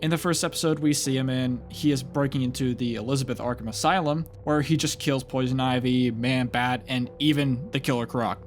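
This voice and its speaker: A man's voice: medium pitch, steady tone, a little fast, few pauses, a little hiss or hum. A young man giving a casual, loosely scripted commentary that reviews horror series, with occasional stumbles. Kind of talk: casual video essay commentary.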